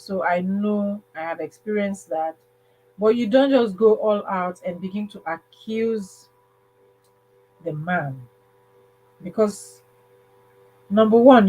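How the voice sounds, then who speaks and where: A woman's voice: medium pitch, poor audio. A woman hosting a casual livestream advice talk, speaking emphatically and repeating phrases for stress. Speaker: livestream host.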